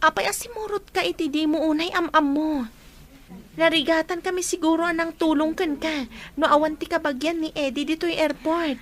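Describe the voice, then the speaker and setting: A woman's voice: extremely high pitch, steady tone, brisk, fairly bright tone. A woman playing an earnest, helpful young stepsister in a radio drama. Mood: reassuring, earnest. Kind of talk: Ilocano radio drama acting.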